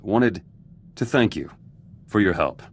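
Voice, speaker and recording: A man's voice: on the low side, animated, a little slow, a little hiss or hum. A man playing an embittered, vengeful resistance fighter in a video game, speaking in terse, clipped fragments with dropped words. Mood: cold, resolute. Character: embittered male resistance fighter turned politician, hard and vengeful. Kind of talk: video game dramatic voice acting.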